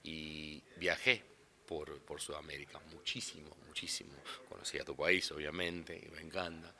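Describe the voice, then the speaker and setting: A man's voice: a bass voice, steady tone, moderate pace, sharp tone. A man from Brazil, a native Portuguese speaker, talking casually in an interview about his work as an interpreter, rambling and restarting often.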